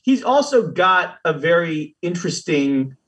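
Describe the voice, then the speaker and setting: Man, podcast co-host, husky, moderately high voice, hesitant, casual conversation. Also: big pitch swings, a little slow, fairly bright tone. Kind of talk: casual chat.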